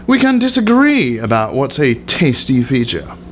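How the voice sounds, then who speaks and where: A man's voice: mid-range voice, wildly swooping pitch, normal speed, a little hiss or hum. A man giving a pompous, theatrical dramatic reading of an essay with exaggerated emphasis.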